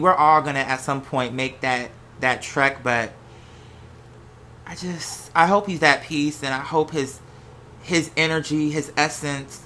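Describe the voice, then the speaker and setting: An American host talking in a casual, unscripted monologue, with an animated, sassy, slangy way of telling stories. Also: mid-range voice, a little hiss or hum. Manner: animated, sassy, slangy storytelling with acted-out quotes. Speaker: host of a gossip and commentary show.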